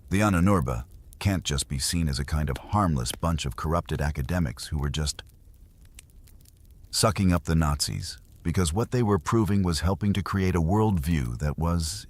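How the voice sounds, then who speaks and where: A man narrating history in an unhurried, conversational way, as if explaining to a listener. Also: rumbling low. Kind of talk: documentary history storytelling.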